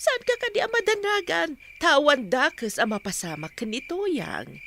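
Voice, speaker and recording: A woman's voice: high voice, big pitch swings, unhurried, gravelly, fairly bright tone, slight background noise, full character voice. A woman playing a sly, coaxing schemer in a radio drama. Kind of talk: radio drama acting in Ilocano.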